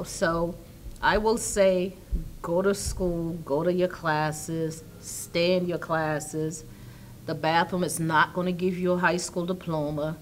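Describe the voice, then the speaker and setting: Woman in her fifties, from Haiti, school administrator, lowish voice, interview answers, faint room noise. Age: 50s. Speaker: school assistant principal. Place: indoor school studio with curtain backdrop. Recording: distant mic with background room noise.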